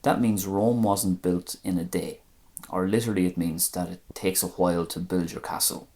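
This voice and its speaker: A man with an Irish accent teaching a language lesson, saying phrases slowly and carefully one at a time. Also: low-pitched, steady tone, a little mellow. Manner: slow, careful phrase-by-phrase pronunciation.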